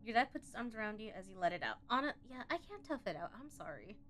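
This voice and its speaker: A woman streaming a game live, chatty and expressive, reading the on-screen story aloud and tossing in playful asides. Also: a little high, fast talker. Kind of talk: live let's-play commentary, reading game text aloud.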